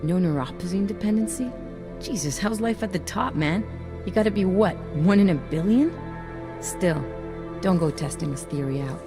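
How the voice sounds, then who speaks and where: An actress playing a streetwise hacker ally in a video game, talking in a casual, blunt, slangy way with real concern. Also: female voice, fairly low pitch, expressive, moderate pace, lightly acted. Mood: blunt, amazed. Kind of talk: video game cutscene acting. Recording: music under the voice.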